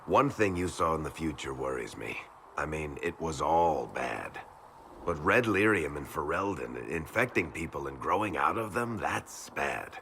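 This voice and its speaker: An actor playing a wry, easygoing rogue in a video game, with a gravelly, conversational delivery. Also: male voice, rumbling low, bright, forceful tone, a little hiss or hum, heavily acted. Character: wry, easygoing male storyteller rogue, worried but joking.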